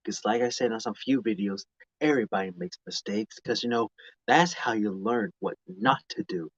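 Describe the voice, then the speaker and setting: A man's voice: on the low side, moderate pace, dry recording, lo-fi sound. A man in his mid-twenties chatting casually to viewers on a live stream outdoors, rambling and often repeating or restarting his phrases.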